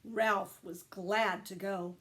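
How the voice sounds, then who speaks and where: A woman reading a children's story aloud with expressive, deliberate emphasis. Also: mid-range voice. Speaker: storytime reader, teacher.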